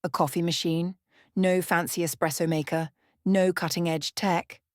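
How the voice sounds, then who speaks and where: A woman's voice: fairly low pitch, little pitch movement, normal speed, no room sound, clean studio sound, close to an everyday voice. A woman voicing an ancient female vampire in a bedtime story, sly, playful and teasing. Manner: teasing, mischievous.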